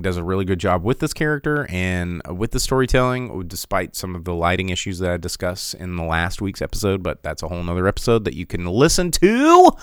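Man, low-pitched, smooth clear voice, dry close sound, casual rambling podcast host. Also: a bit bright, no echo. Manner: chatty and rambling, with many fillers and restarts. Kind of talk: casual movie box office rundown.

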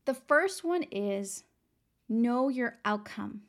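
A young woman from Texas hosts her motivational podcast with a pitch a little above the usual for a woman. Her voice is raspy and worn today. She keeps it chatty and upbeat, calling her listeners girl and friend. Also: expressive, slow. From San Antonio, Texas. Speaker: podcast host. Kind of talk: casual motivational podcast talk.

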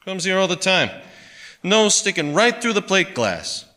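Storybook pawnbroker, played by a man: fat, blunt, grudging, tired of it all, sharp and swooping delivery. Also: high-pitched, very animated, measured pace, long reverb tail, pitched above the performer's own voice, exaggerated voice. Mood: irritated. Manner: fretful, curt, grumbling, colloquial speech.

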